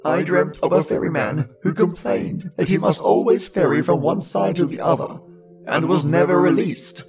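A man voicing a wily old grandmother in a storybook tale, creaky, coaxing and drawing out the words. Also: high-pitched, normal speed, music in the background. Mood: coaxing, sly. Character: devil's old grandmother, wily, soothing, scolding old woman.

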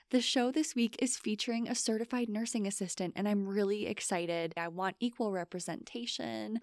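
A woman from the Midwest hosts a podcast in a loose, chatty way, peppering her talk with "like" and small restarts. Her voice sits at a middle pitch and is recorded dry and close, with no room sound. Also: vocal fry, a little mellow, no echo. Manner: chatty, filler-heavy with frequent "like". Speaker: podcast host. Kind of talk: casual interview chat.

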